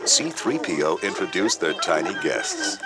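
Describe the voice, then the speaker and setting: A man's voice: low-pitched, big pitch swings, measured pace. A man narrating a scripted read-along storybook. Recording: loud music under the voice.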